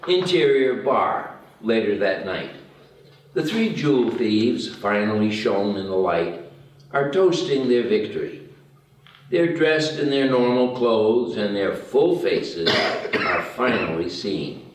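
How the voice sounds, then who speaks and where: Slow-paced man reading stage directions, very echoey. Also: on the low side, lively intonation, slight background noise. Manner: measured, matter-of-fact. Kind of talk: scripted narration at a screenplay table read. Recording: very echoey room, distant mic.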